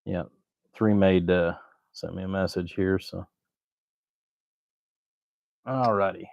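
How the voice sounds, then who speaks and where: A man with a very deep voice chats casually as he tinkers at his workbench. His delivery is folksy, rambling and laid-back. The sound is dry and close, with a slightly dull, lo-fi edge. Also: steady tone, takes it slowly, gravelly, darkish tone, dry recording. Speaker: livestream host, hobbyist amplifier builder. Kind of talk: casual livestream chat while working at a bench.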